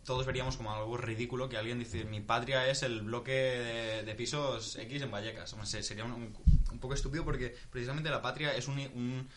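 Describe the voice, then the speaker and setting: A man's voice: medium pitch, fairly even intonation, fairly quick, few pauses, a bit bright, slight background noise. A young man from Spain in a casual group discussion, thinking aloud with many restarts. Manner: thinking aloud, restarts, swears when stuck.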